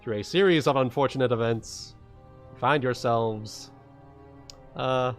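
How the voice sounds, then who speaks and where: A man running a livestreamed tabletop game as game master, narrating casually and haltingly, often repeating his phrases. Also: mid-range voice, faint music behind.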